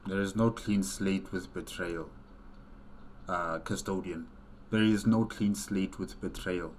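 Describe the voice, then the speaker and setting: A man with a low voice speaks very slowly as he gives earnest reaction commentary. He halts and repeats phrases for weight, with clear noise behind him. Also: fairly even intonation, darkish tone. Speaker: reaction video host.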